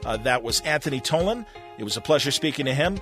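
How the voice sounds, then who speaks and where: A man's voice: medium pitch, expressive, quick pace, fairly bright tone, soft background music. A man hosting a podcast in a casual, enthusiastic and appreciative way. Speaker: podcast host. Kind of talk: casual interview and show sign-off.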